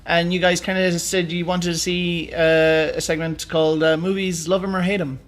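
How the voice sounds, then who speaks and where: A man's voice: high voice, level tone, normal speed. A man co-hosting a podcast in casual, conversational chat about films and games. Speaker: podcast co-host.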